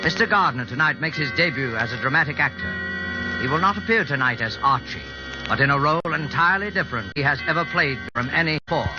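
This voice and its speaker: A man's voice: on the high side, animated. Formal male radio announcer over music. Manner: formal, measured phrasing. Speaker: program announcer. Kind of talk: 1940s radio scripted introduction. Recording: dull old radio recording with music.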